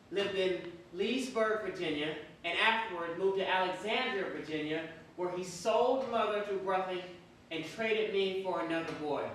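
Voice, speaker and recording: High-voiced actor as a grieving man, echoing hall. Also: a bit bright. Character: formerly enslaved man recalling the mother sold from him. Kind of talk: dramatic reading of a historical newspaper ad. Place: theatre or hall, recorded from a distance. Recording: echoey hall from far off, faint noise.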